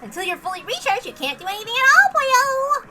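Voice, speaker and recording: A woman's voice: extremely high pitch, very animated, a little fast, very noisy, voice pushed up. A woman voicing a cute battle-system assistant in a visual novel, making perky, cutesy announcements.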